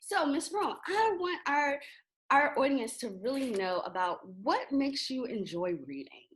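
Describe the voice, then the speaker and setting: A woman's voice: high-pitched, lively intonation, measured pace, fairly bright tone. A woman hosting a children's reading event, reading out introductions and putting questions to a guest. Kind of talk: scripted introduction and interview.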